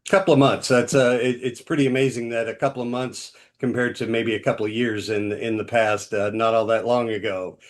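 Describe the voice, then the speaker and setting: A man's voice: medium pitch, level tone, fairly quick, hardly any pauses. A man explaining how he built an AI tool, hedging and thinking aloud as he talks. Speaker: builder of the AI trainer. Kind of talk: casual discussion.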